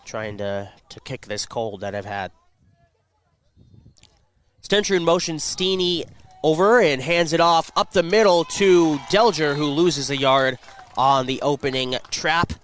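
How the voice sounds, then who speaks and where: A man calling high school football play-by-play for radio, congested from a cold and picking up energy during plays. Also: unhurried, soft background music. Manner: rising excitement on plays. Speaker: play-by-play announcer. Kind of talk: radio sports commentary.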